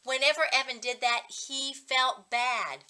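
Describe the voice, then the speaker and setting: A woman reading a children's story aloud as a reading coach, in expressive narration. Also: high voice, measured pace, smooth.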